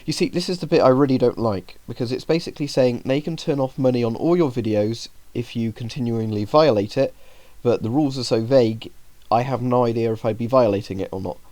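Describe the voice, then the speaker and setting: A man's voice: mid-range voice, fairly quick, hardly any pauses, darkish tone, dry recording. A young man with a cold, breathing heavily, chatting to his viewers in a rambling, filler-heavy way and growing indignant over the rules. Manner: indignant when reading rules aloud. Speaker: YouTuber talking to viewers. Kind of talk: casual vlog commentary.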